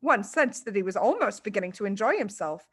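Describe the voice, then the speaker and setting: A woman reading a children's story aloud as expressive scripted narration. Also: mid-range voice, brisk, husky. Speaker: storybook reader.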